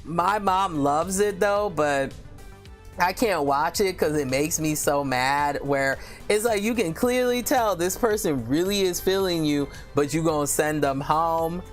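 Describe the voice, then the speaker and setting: A woman with a deep voice, chatting candidly on a live stream and reading viewer comments aloud, with lots of "you know" fillers. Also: measured pace, soft background music.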